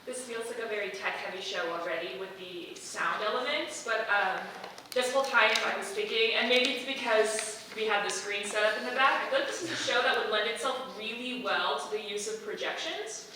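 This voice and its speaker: A woman's voice: on the high side, brisk. A woman speaking thoughtfully and articulately as she gives casual feedback in a group discussion. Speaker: audience member giving feedback. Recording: echoey room from far off, faint noise.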